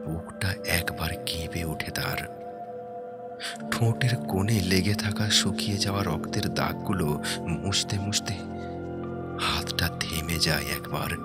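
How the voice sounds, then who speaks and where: A man narrating a horror story in a dramatic, suspenseful way, in short halting phrases. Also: fairly low pitch. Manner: suspenseful, halting short phrases, dropping to hushed breathy tones. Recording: background music under the voice. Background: prominent music.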